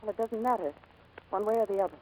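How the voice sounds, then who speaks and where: A woman's voice: medium pitch, fast, a little mellow, lightly acted. An actress playing a plucky young woman pilot in 1940s radio drama, hesitant and wistful behind brave wisecracks. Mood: guarded. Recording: noisy vintage 1940s radio broadcast.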